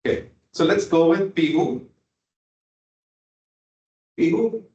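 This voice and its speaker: Man, high voice, meeting host, friendly, hesitant fillers, echoey room. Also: slow, mellow, highs cut off. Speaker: table topics master. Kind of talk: hosting a club meeting.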